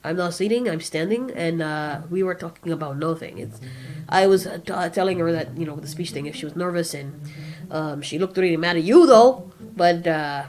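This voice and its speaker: A woman's voice: fairly low pitch, normal speed, a little mellow, voice pushed down, lightly acted, rough recording. A woman voicing a jittery, awkward male flying kaiju who rambles and stumbles over his words. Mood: fumbling. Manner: rambling, fumbling, hesitant.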